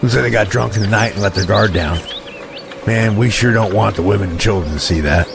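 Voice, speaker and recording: An actor playing a grim, cautious scout with a gravelly voice in an audio drama. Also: male voice, fairly low pitch, a little fast. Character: grim, cautious male scout of a refugee group. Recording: noisy outdoor ambience behind.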